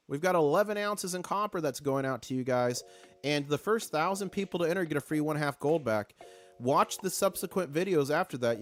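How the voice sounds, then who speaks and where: A man hosting a casual live stream with a hoarse voice, chatty and excitable, reading viewer comments aloud. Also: on the high side.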